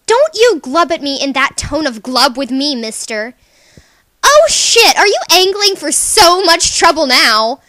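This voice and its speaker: A woman's voice: high voice, sing-song, a little slow, pushed and bright, no echo, full character voice. A woman voicing a bubbly young alien sea girl who scolds and teases emphatically, in a character reel for a webcomic. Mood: teasing, indignant. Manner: exclamatory, teasing, emphatic.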